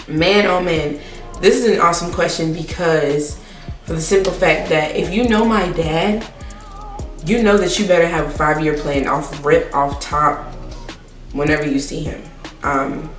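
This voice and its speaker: Low-voiced teen woman, roomy and distant. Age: teens. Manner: chatty, rambling, repeats herself. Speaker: vlogger. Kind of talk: casual Q&A vlog. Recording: roomy, distant camera sound with faint music.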